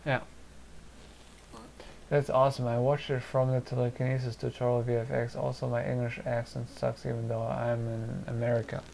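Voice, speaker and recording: A man from Germany with a German and Polish accent talks casually to his live chat from a home desk, thinking aloud with plenty of fillers. His voice has a gravelly, creaky edge at an ordinary pitch. A faint hiss sits behind him. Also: little pitch movement, moderate pace, a little mellow, low-quality recording. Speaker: streamer answering chat. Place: small home studio at a desk.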